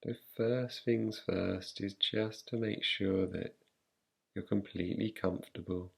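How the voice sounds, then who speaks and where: A man guiding a relaxation meditation in a calm, unhurried, soothing way, leaving long pauses between phrases. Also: sits low, creaky, husky. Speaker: meditation guide. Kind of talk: guided relaxation, yoga nidra meditation.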